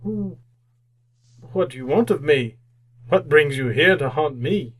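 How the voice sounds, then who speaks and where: An actor playing a frightened, querulous old miser in an old-time radio drama, hesitant and pleading, often repeating himself. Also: male voice, fairly high pitch, animated, unhurried, breathy edge.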